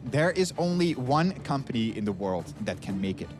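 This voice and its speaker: A man's voice: mid-range voice, sing-song, normal speed. A man presenting a science documentary with enthusiastic scripted narration. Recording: background music, played within a stream. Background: clear background music.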